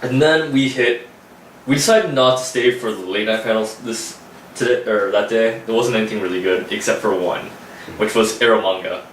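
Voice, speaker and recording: A man's voice: on the low side, moderate pace, fairly bright tone, recorded from a distance, slight background noise. A young man chatting casually, laid-back, with dry teasing remarks. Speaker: vlog co-host. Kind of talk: casual chat. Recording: roomy, from off mic, faint background.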